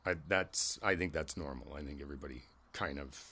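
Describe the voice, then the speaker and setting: A man playing a kindly older neighbor in an ASMR roleplay, reflective and candid, talking in a halting, conversational way and repeating his phrases. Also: extremely low pitch, bright, forceful tone, slightly rough, heavily acted. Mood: somber, candid.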